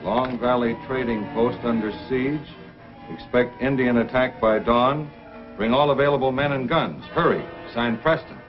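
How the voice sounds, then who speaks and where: An actor playing a hearty, urgent frontier sled driver who thinks aloud in brisk exclamations, in old-time radio adventure style. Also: male voice, medium pitch, natural voice. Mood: urgent, alert. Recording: dull old radio recording with music.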